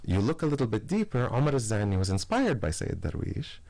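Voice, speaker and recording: A man's voice: mid-range voice, very animated, brisk, a little mellow. A man as a radio interview guest, explaining at length in long lists with frequent fillers and restarts. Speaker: guest artist.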